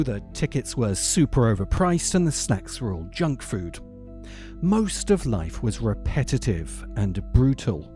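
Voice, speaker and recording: Male video essay host: mellow tone, highly animated pitch, dry dramatic emphasis, music underneath. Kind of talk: scripted narration, film commentary.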